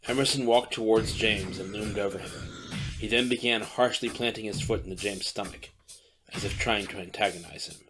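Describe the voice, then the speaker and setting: A man reading a dark audiobook story as narrator, in a steady descriptive manner. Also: fairly low pitch, a little fast, low-quality recording. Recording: narration over loud music bed.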